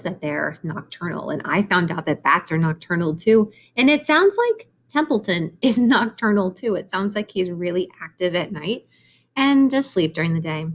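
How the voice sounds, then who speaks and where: Expressive woman reading a storybook aloud. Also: fairly low pitch, moderate pace. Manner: animated, explanatory, addressing young listeners. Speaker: teacher reading a children's book aloud. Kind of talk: expressive storybook read-aloud with asides to children.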